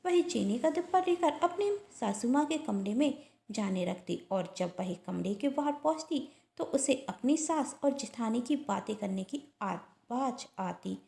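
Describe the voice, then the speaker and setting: A woman narrates a domestic moral story. Her voice sits a little high with a noticeable creak, and the recording has a clear room echo. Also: expressive, a little slow, dark tone. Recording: roomy echo.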